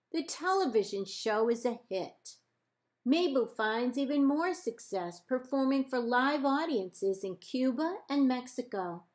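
A woman librarian reading a children's picture book aloud in measured, deliberate phrases with pauses. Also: a little high. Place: indoors, book held up to camera.

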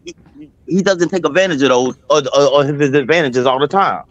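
A man's voice: fairly high pitch, fairly quick, dull audio. A man debating heatedly on a video call from his phone, insisting on his point and talking over others. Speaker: call-in panelist.

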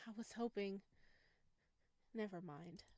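A woman voicing a shy, self-doubting young male monster in a visual novel, gentle and hesitant. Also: medium pitch, takes it slowly, no echo, close to an everyday voice. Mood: wistful, subdued. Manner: hesitant, stammering. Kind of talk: visual novel character reading.

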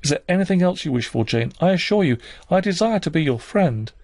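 A man's voice: a little high, animated, fairly quick, darkish tone, no echo, voice pushed up. A man voicing a stern but uneasily placating genteel aunt in a storybook reading, with a coaxing manner. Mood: uneasy, placating.

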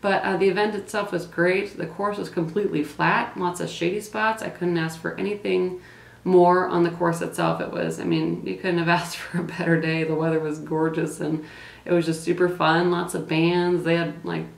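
A woman's voice: on the low side, normal speed, creaky, reverberant. A young woman chatting to the camera about her race, full of "like" and "um" fillers. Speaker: running vlogger. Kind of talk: casual race recap to camera. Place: small indoor room, plain wall.

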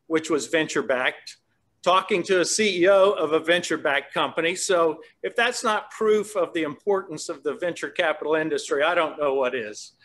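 A man's voice: a little high, normal speed, fairly bright tone. An older man hosting a virtual awards event over a video call, reading a formal welcome and asking interview questions. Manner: polished, formal announcer delivery. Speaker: host, association president. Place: open-plan office, indoors.

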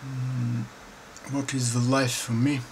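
A middle-aged man from Bulgaria with a Bulgarian accent, answering questions to camera at home in a slow, halting, thoughtful way with many pauses. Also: mid-range voice, flat delivery, low-quality recording. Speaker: painter and filmmaker. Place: dim room indoors at home. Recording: clear room noise behind.

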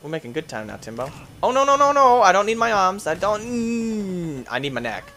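Goofy, lively young guy gaming. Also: sits high, fairly quick. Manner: goofy, playful, jumps between mumbling and yelping. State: tired, voice getting deeper. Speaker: gaming youtuber. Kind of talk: casual gameplay commentary.

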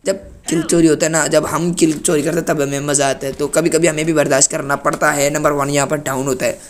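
A hoarse young man with a cold from Pakistan, giving chatty, playfully indignant commentary over his own gameplay as a gaming channel host. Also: fairly high pitch, flat delivery, fast talker.

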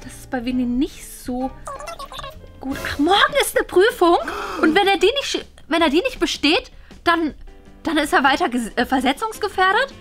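A fussy, determined mother played by a woman with a high voice, in a family web drama. She sounds fretful and insistent, with light music behind her. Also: sing-song, normal speed, a bit bright, full character voice. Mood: flustered, polite.

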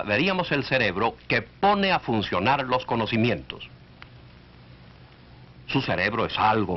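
Man narrating, dull vintage soundtrack, animated pitch. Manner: instructive, addressing the listener directly. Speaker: narrator of an educational cartoon. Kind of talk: 1940s scripted educational film narration. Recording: dull, band-limited 1940s film soundtrack.

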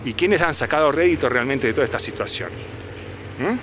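Older man, defense lawyer, lively insistent delivery, press scrum mics, street noise behind. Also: medium pitch, brisk. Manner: insistent, rhetorical questions. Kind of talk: press interview. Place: outdoors by a detention unit. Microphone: handheld reporter mics.

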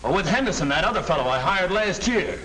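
An actor playing an earnest middle-aged American sales manager in a 1940 training film, speaking in a firm, instructive way. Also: male voice, high voice, very animated, fast talker, a bit bright. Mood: reflective, earnest. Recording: noisy old 1940 film soundtrack.

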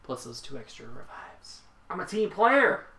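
A man's voice: on the high side, sing-song, normal speed. A young man casually chatting and joking with a friend while playing a co-op shooter, swearing freely. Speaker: gameplay commentator. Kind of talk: casual co-op chat. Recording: roomy mic with loud gunfire behind.

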